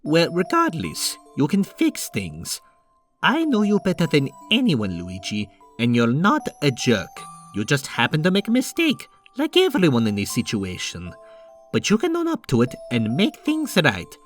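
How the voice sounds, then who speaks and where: A man playing a reassuring, easygoing older-brother plumber in an animated comic dub, talking casually with a teasing streak. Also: fairly high pitch, a little slow, faint music behind. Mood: encouraging.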